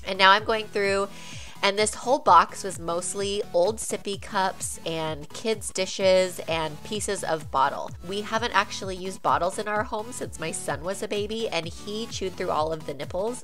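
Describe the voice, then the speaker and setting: Woman, 30s, stuffy-nosed vlog narrator, low but bright tone, casual asides, faint music bed. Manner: chatty and candid, friendly asides to viewers. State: congested. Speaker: home vlogger. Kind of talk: casual declutter vlog voice-over.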